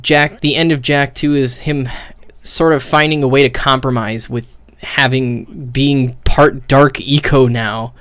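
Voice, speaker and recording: A young man streaming casual, rambling commentary on a video game, hesitating as he thinks out loud. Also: medium pitch, steady tone, measured pace. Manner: casual swearing.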